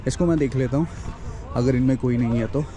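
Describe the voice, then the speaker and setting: A man's voice: mid-range voice, a little fast, dark tone. A young man giving a casual walk-and-talk travel vlog outdoors at a hillside campsite, chatting and mixing English words into his speech. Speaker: travel vlogger. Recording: faint outdoor noise.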